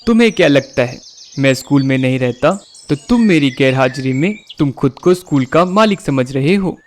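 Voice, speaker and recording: A man's voice: mid-range voice, animated, moderate pace, slight background noise, lightly acted. A man voicing a domineering, accusing kite bird who owns a school in a Hindi cartoon. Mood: stern, accusing. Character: angry, bossy male kite bird, school owner.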